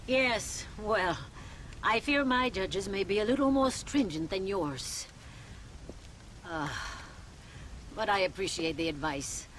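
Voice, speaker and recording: A wry older nun. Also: female voice, medium pitch, lively intonation, fairly bright tone, a little hiss or hum, poor audio. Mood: dry. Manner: wry, measured, sardonic. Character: dry-witted older mother superior nun. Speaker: convent head in a video game cutscene. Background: game score music.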